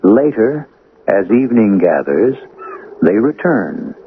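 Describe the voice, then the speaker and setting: A man hosting a radio mystery series, narrating a script in a measured, theatrical storytelling manner. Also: lowish voice, sing-song, slight background noise. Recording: tinny old radio broadcast with music.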